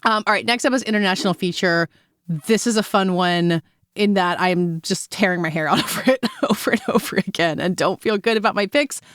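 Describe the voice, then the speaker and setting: A woman's voice: medium pitch, a little fast, a bit bright, no room sound, studio quality. A woman co-hosting a casual film awards discussion, talking in a chatty, analytical, conversational way. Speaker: podcast co-host.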